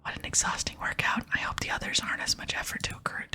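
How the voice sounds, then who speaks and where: A woman's voice: quick pace, sharp tone, faint music behind. Woman whispering as an eager young-at-heart adventurer. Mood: weary. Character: eager, easily flustered female adventurer in training. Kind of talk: whispered ASMR reading of game dialogue.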